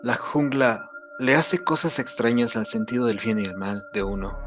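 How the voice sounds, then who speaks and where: A man's voice: medium pitch, moderate pace, over music, natural voice. A man acting a tormented, battle-worn soldier in an audio drama, arguing with himself and barking orders. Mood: brooding, troubled.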